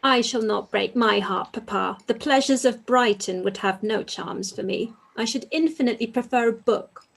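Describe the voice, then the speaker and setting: A woman's voice: fairly high pitch, moderate pace, vocal fry, few pauses. A woman voicing a prim, bookish young woman in a live-read radio play, primly superior.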